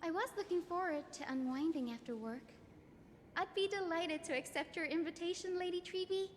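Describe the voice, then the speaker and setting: A woman voicing a gentle, polite young healer in a video game, with a soft, earnest delivery. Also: extremely high pitch, moderate pace, long reverb tail, a little hiss or hum.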